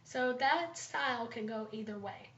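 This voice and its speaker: Woman, fairly high voice, slightly raspy from allergies, thoughtful with frequent ums, roomy echo, dull lo-fi sound. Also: unhurried, a bit bright. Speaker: student presenter. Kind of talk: class presentation, leadership analysis.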